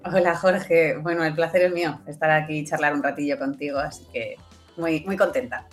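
A woman's voice: sits low, steady tone, brisk, faint music behind. A woman with a Spanish accent speaks casually as the guest in an interview. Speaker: interview guest, voice actress and audiobook director.

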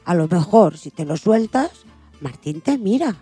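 A woman's voice: on the low side, sing-song, measured pace, mellow, slightly rough, faint music behind, heavily acted. A woman playing a meddling, coaxing acquaintance who hands out advice in a children's radio play. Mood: coaxing, critical. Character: meddling acquaintance giving a girl advice on her looks.

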